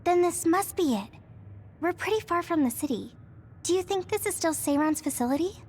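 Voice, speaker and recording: A woman's voice: very high voice, expressive, normal speed, darkish tone, slight background noise. An actress playing a caring, earnest young female soldier in an anime-style video game cutscene. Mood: wary.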